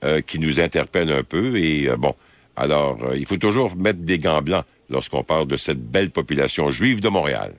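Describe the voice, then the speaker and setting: A man with a Quebec French accent hosting an overnight phone-in radio show, speaking slowly and hesitantly with drawn-out fillers. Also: extremely low pitch, expressive, no room sound. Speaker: overnight radio call-in host. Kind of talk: late-night phone-in talk radio. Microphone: studio microphone.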